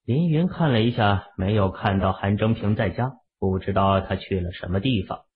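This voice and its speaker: Low-voiced male narrator, phone-like sound. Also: normal speed, breathy edge. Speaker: audiobook narrator. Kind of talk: scripted fiction narration.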